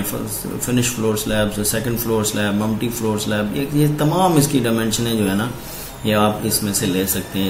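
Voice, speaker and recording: A man from Pakistan walking through floor plans in a steady, explanatory voice-over, pointing out rooms one by one. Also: lowish voice, noisy background. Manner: steady explanatory, repeating "ye dekhen" while pointing. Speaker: architect presenting house plans. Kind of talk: walkthrough narration of floor plans. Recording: roomy echo, faint ambience.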